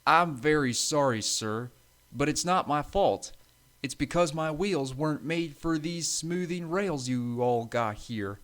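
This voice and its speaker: A man's voice: a little high, lively intonation, measured pace, pitched above the performer's own voice. A man voicing an eager, earnest American steam engine with an American accent and folksy speech in a children's story. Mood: apologetic, sad. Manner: folksy, colloquial grammar.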